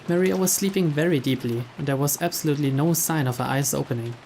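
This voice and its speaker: A man narrating a visual novel playthrough, reading the story aloud in a measured way with small stumbles. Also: fairly high pitch, vocal fry, a little mellow. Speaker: narrator, game playthrough host. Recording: faint rain noise behind.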